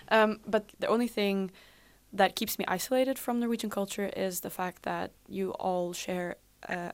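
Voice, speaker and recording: A young woman whose first language is Flemish Dutch, a student radio guest talking casually in English with many pauses and ums. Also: medium pitch, gravelly. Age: young adult. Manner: hesitant, many ums, plain non-native English.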